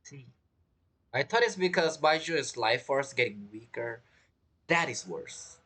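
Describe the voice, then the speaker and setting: A man's voice: mid-range voice, lively intonation, measured pace, bright, forceful tone, husky. A man giving casual, informal gameplay commentary as a streamer, with non-native grammar. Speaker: streamer, gamer. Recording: game music behind the voice.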